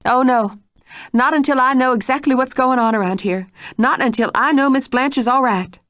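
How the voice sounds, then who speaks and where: A woman's voice: mid-range voice, big pitch swings, normal speed, dry recording, studio quality, pitched above the performer's own voice, close to an everyday voice. A woman voicing a stern, determined housekeeper who scolds and threatens. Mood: scolding. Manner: scolding, forceful.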